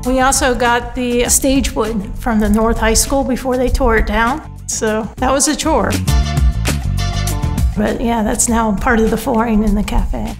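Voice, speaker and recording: A woman with a fairly high voice recounts things in a casual way. Music is clearly audible behind her. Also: steady tone, measured pace. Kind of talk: casual recollection.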